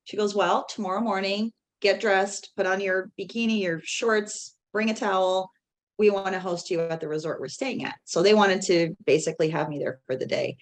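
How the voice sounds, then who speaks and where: A woman, a podcast guest telling a story in a lively way and often laughing. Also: medium pitch, normal speed. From Canada (Toronto). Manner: animated, laughs often, quotes others in dialogue. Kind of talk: casual storytelling.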